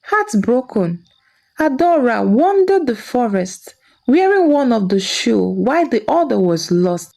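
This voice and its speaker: A woman's voice: a little high, big pitch swings, measured pace, creaky, a little mellow. A woman narrating a scripted folktale as a storyteller.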